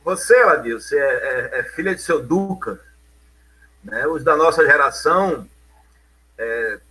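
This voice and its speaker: A man's voice: a little high, bright, forceful tone, husky, poor audio. A middle-aged man, hosting an interview and asking questions in a chatty way, often ending on a tag question. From Bahia, Brazil. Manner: conversational, leading questions with frequent "né". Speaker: interview host.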